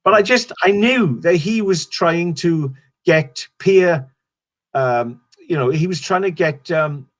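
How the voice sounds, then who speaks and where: Middle-aged Welsh man, comic, higher voice, loose rambling storyteller. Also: slow pace. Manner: rambling, restarts sentences, swears casually. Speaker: stand-up comedian guest. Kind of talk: casual interview chat.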